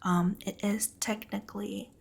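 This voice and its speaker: Breathy whispering woman. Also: mid-range voice, little pitch movement, measured pace. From Taiwan. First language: Mandarin Chinese. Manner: soft, giggly, hesitant with many ums. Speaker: VTuber streamer. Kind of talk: soft whispered ASMR chat with stream viewers.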